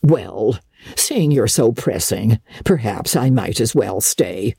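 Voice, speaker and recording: Woman playing an opinionated old country gossip, husky. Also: a bass voice, lively intonation, takes it slowly, warm tone, dry recording, studio quality, voice pushed down, heavily acted. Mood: coy. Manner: folksy, emphatic, rambling rural speech.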